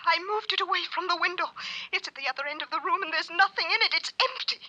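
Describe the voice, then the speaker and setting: A frightened, pestered tenant with a very high, piercing, bright voice. Her lines come anxious and shrill, in old-time radio drama style. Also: fast, lo-fi sound, exaggerated voice. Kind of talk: 1940s radio acting.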